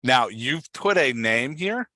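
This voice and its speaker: A man's voice: medium pitch, animated, takes it slowly, sharp tone, dry recording. A man coaching someone in a casual one-on-one talk, instructive and prodding with questions. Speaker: podcast host and dialogue writing coach.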